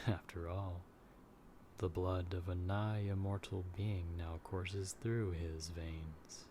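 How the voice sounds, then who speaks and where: A man playing an ancient, coldly curious vampire in an audio roleplay, musing with soft menace and amusement. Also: low-pitched, fairly even intonation, pitched below the performer's own voice. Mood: amused, musing. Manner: slow, musing, softly menacing. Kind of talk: dramatic audio roleplay monologue. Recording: noisy track with storm ambience.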